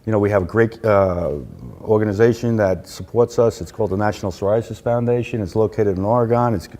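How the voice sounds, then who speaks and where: Middle-aged New York man, warm low voice, chatty guest, lapel mic. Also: fairly even intonation, quick pace. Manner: chatty, laughs easily, many fillers. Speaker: patient advocate guest. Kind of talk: casual interview. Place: conference room, indoors.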